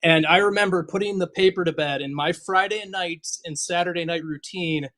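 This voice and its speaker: High-pitched American man telling a story. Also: normal speed, bright, forceful tone. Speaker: podcast co-host, sports journalist. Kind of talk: casual chat, storytelling.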